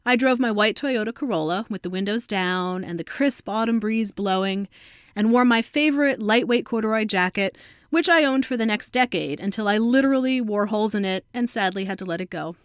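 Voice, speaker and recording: A woman's voice: mid-range voice, fairly quick, smooth, dry recording. Woman reading a personal essay, dry recording. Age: around 40. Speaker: essayist reading own work. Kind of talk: scripted personal essay narration.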